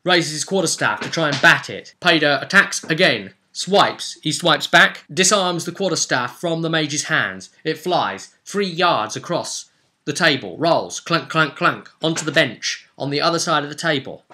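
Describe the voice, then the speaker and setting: Forceful, bright-voiced man narrating fantasy combat. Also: a little high, lively intonation, a little slow, slight background noise. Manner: theatrical, with short punchy phrases. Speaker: game master. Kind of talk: dramatic narration of a tabletop role-play.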